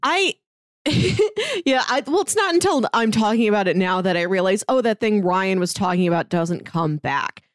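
A woman's voice: mid-range voice, expressive, normal speed, no echo, studio quality. A woman co-hosting a TV podcast, talking through the season in a chatty, analytical way and laughing now and then. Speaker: podcast co-host. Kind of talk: casual TV recap chat.

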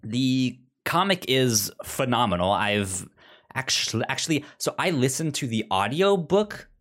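A man hosting a podcast in casual review chat, speaking haltingly and often repeating syllables and words. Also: medium pitch, big pitch swings, fairly bright tone. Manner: halting, frequent stutter-like repetition of syllables and words. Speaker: podcast host.

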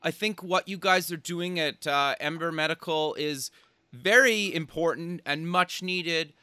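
A man's voice: fairly high pitch, a little slow, sharp tone, clear, resonant tone, no echo. A man hosting an interview show, welcoming his guest and giving short encouraging replies. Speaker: show host.

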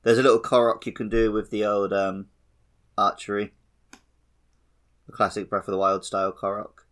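A man's voice: fairly low pitch, little pitch movement, moderate pace, fairly bright tone. A man co-hosting a casual podcast conversation about video games. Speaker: podcast host. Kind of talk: casual video game discussion.

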